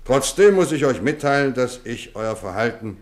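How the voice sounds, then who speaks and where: An actor playing a stern but fair boarding-school housemaster in a 1950s German radio play, questioning in a measured, formal, authoritative way. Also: male voice, mid-range voice, animated, a little hiss or hum, natural voice. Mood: strict, deliberate.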